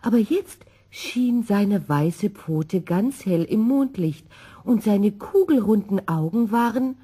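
A woman narrating a scripted children's story in an expressive, dramatic manner. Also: mid-range voice, slow, slightly rough.

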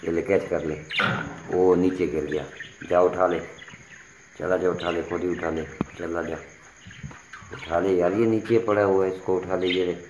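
A man's voice: low voice, fairly even intonation, unhurried, pauses often. A man chatting to his viewers while coaxing his pet birds in an outdoor yard, filmed on a handheld phone. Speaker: animal vlogger. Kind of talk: casual vlog narration to viewers. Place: outdoor yard by bird cages. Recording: roomy phone audio with loud yard noise.

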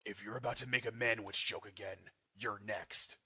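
A man voicing a menacing, short-tempered teenage ninja, curt and threatening, with a husky voice in a parody cartoon dub. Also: lowish voice, steady tone, moderate pace, bright, forceful tone, dry recording, studio quality, heavily acted.

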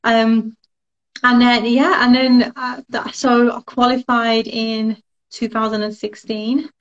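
Woman in her thirties, fairly high voice, chatty and rambling, webcam call, slightly muffled audio. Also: level tone, takes it slowly. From born in Russia, grew up in London. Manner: chatty, rambling, many fillers and quoted asides. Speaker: solicitor and law firm partner, interview guest. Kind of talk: casual interview chat on a live video call. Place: small room at home, indoors. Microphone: video call webcam.

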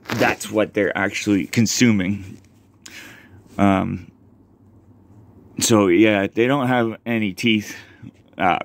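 A man's voice: fairly low pitch, dry recording. Rambling man in a dry basement. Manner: rambling and hesitant, many ums and self-corrections. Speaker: home worm composting vlogger. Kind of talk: casual show-and-tell demonstration. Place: basement, indoors. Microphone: handheld camera mic, filming his own hands.

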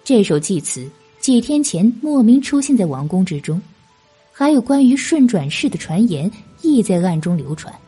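A woman's voice: mid-range voice, sing-song, normal speed, warm tone, slightly rough, soft background music. A woman narrating an audiobook novel in a scripted, dramatic storytelling style.

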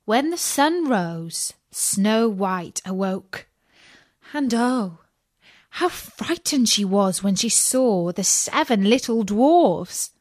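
Animated, unhurried woman storyteller. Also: mid-range voice, no echo, studio quality. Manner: measured, expressive storytelling. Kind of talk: scripted children's audiobook narration.

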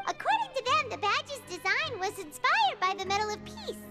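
A woman voicing a tiny, eager, childlike fairy girl companion in a video game, squeaky and chirpy. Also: sing-song, normal speed. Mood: eager, curious. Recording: music behind.

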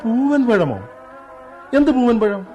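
An actor playing a doting, teasing young husband in a radio drama, with a playful and indulgent manner. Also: male voice, extremely high pitch, very animated, slow pace, over loud music, heavily acted. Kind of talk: 1990s-style radio drama acting.

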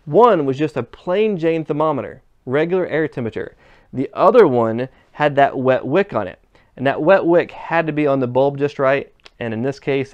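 A man's voice: medium pitch, darkish tone, muffled recording. A man in his thirties teaching an HVAC class in a workshop, explaining step by step and putting questions to the viewer. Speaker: HVAC instructor. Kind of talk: classroom demonstration lesson. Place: classroom workshop with equipment, indoors. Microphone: fixed camera, standing at mid distance.

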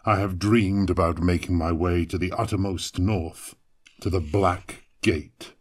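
Vengeful dark fantasy warrior, artificially lowered deep voice, ponderous pace, solemn, ambitious, audio fiction. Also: male voice, steady tone, measured pace. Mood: grim resolve. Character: grim ambitious Chaos warrior craving godhood and vengeance. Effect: pitched down.

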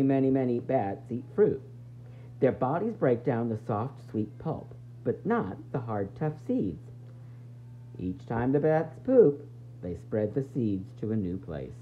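A man reading a children's book aloud like a teacher, expressively, with dramatic pauses, emphasis and questions to his young listeners. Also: mid-range voice. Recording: dull, faintly noisy home recording.

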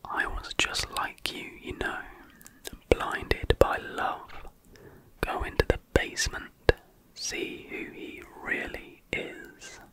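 Murdered wife's ghost in a mirror, raspy and broken, whispered very slowly, read by a woman. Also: fairly bright tone, poor audio. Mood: eerie. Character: ghostly murdered wife trapped in a mirror, sad. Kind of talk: whispered horror storytelling.

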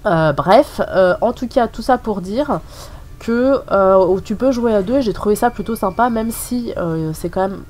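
A woman's voice: mid-range voice, darkish tone, a little hiss or hum. A woman with a French accent and a cold, giving casual, rambling gameplay commentary full of hesitations. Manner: rambling, many hesitations and fillers. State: has a cold, sniffly. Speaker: gaming channel host. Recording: voice-over with faint game music.

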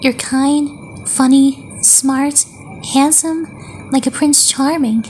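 A woman playing a sweet, affectionate young woman in a romantic ASMR roleplay, speaking gently and hesitantly with long pauses. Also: sits high, fairly even intonation, a little hiss or hum. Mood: tender, shy. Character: sweet, affectionate young woman confessing love to her crush.

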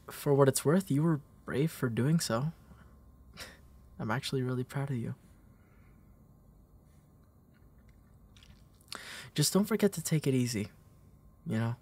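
A young man playing a gentle, protective, playful big brother in a comfort roleplay, speaking in a hesitant, soothing way. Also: medium pitch, husky, lightly acted. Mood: soothing, reassuring. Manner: hesitant, soothing, halting delivery. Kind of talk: intimate comfort roleplay audio.

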